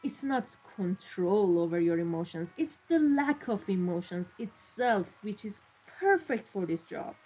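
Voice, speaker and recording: A woman's voice: mid-range voice, animated, a little mellow, husky. Woman acting a coolly ruthless female mafia boss, music behind. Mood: amused, intrigued. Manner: theatrical, deliberate, condescending, teasing endearments. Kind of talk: scripted ASMR roleplay drama. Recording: voice over background music.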